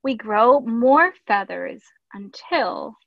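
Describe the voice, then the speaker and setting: A woman's voice: on the high side, animated, takes it slowly, creaky, a little hiss or hum. A woman reading a picture book aloud as a teacher, expressively, with instructive asides. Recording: echoey room, dull lo-fi audio.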